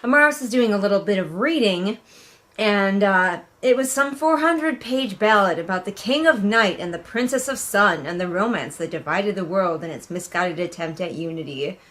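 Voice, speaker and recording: A woman giving casual, sarcastic read-along commentary as a book reviewer, with animated, mocking emphasis. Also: medium pitch, normal speed.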